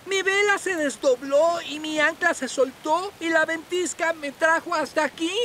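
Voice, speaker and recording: A woman's voice: extremely high pitch, normal speed, fairly bright tone, slight background noise. A woman voicing a small, timid but brave young railboat in a Latin American Spanish cartoon dub, anxious and exclamatory. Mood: shaken, relieved.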